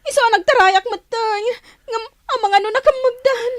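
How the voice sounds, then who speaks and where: A woman's voice: very high-pitched, fairly quick, noisy background. An actress with an Ilocano accent playing an anxious village woman in a radio drama. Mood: panicked, tearful. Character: anxious village woman, friend raising the alarm.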